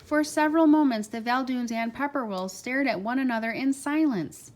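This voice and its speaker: A woman's voice: high voice, moderate pace, darkish tone. A woman narrating a children's storybook aloud as a parent, expressively stressing key words.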